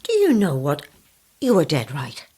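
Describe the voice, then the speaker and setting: Husky, teasing elderly woman. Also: sits low, wildly swooping pitch, a little slow, dark tone, exaggerated voice. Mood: mischievous, blunt. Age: 70s. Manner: blunt, playful, cooing endearments. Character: elderly woman customer, teasing, motherly, sly hostess. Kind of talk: audio horror drama acting.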